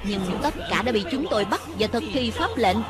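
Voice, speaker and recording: A woman's voice: mid-range voice, expressive, brisk, a loud crowd, lightly acted. A woman voicing a servile, gloating rat henchman in a cartoon voice-over, announcing dutifully. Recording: loud music under the voice-over.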